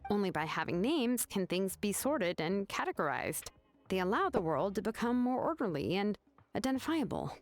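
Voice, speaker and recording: A young female artisan from a video game, voiced by an actress, composed, easygoing and precise about her craft. Her mid-range voice moves freely up and down as she explains. Soft music runs behind her. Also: mid-range voice, very animated, normal speed, close to an everyday voice. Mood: matter-of-fact, explaining. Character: composed young female craftswoman, laid-back but exacting.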